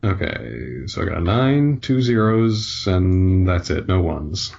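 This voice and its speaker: Male game player, husky voice with heavy fry, casual table talk, muffled recording. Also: low voice, very animated, slow pace, darkish tone.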